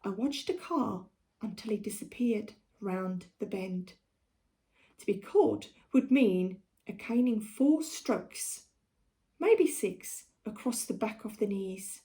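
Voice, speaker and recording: A South African woman reading a storybook aloud for children like a schoolteacher, with deliberate, expressive delivery. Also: medium pitch. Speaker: primary school teacher.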